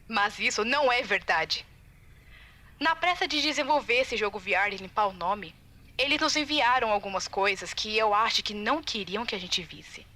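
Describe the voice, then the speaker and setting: Video game audio log: woman playing anxious, determined female tester, Brazilian, bright tense tone, confiding and urgent delivery. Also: mid-range voice, normal speed, lightly acted. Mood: indignant. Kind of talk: recorded audio-log monologue, video game fan dub.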